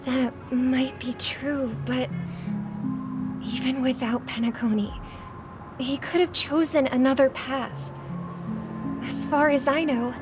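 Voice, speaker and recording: High-voiced, earnest young woman. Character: earnest, gentle but firm young sister, idealistic. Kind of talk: video game voice acting. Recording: loud music behind the voice.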